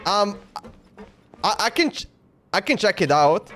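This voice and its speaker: A man gives casual live commentary on a game. His voice is high and unhurried, and he is playful, often repeating little phrases. Music plays behind him. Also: big pitch swings, fairly bright tone. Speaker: game streamer.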